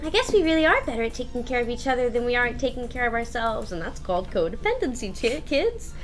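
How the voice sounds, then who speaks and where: High-voiced woman co-hosting a stream. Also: sing-song, fast talker, faint music behind. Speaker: co-host of a gaming stream. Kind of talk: casual, jokey commentary.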